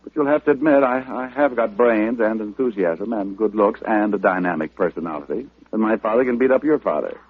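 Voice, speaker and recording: An American actor playing a flippant, wisecracking private detective in old radio comedy style, with sardonic, stammered asides. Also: male voice, on the low side, animated, fairly quick, hardly any pauses, slightly rough. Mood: breezy teasing. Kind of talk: 1940s-50s radio detective comedy acting. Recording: muffled narrow-band old radio recording.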